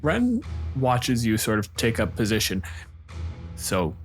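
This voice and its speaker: A man's voice: medium pitch, huge pitch swings, takes it slowly, faint music behind. A man running a tabletop roleplaying game as game master, narrating in a casual, dryly wry way.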